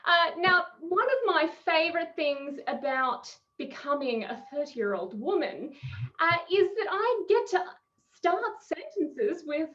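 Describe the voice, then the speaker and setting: A thirty-year-old woman with an Australian accent performing wry, expressive stand-up over a headset on a video call, in muffled, noisy audio. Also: very high-pitched, measured pace. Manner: expressive, wry, chuckling between phrases. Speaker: stand-up comedian. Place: small room at home.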